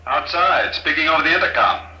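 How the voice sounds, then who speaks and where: A man playing a calm, coldly courteous villain in a 1950s radio drama, formal and suave over an intercom. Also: fairly high pitch, fairly quick. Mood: coolly reassuring. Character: calm, coldly courteous villainous male conspirator, smugly menacing. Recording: echoey, dull old radio recording.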